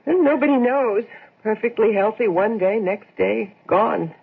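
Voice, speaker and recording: A woman's voice: on the high side, measured pace, gravelly. An actress playing a practical young housewife and mother, speaking conversationally in an old-time radio drama. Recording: noisy, phone-like old radio broadcast.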